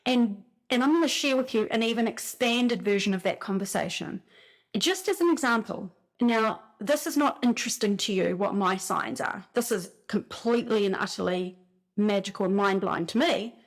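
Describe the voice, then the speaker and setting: A woman's voice: mid-range voice, normal speed. New Zealand woman telling spiritual stories. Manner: animated storytelling, frequent "like" fillers. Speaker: spiritual speaker. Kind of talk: casual spiritual talk.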